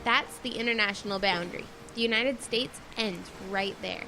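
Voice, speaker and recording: A woman's voice: mid-range voice, normal speed, vocal fry, background noise, close to an everyday voice. A young female actor playing a worried, exasperated and responsible girl who pilots a boat in an audio drama. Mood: practical, cautious.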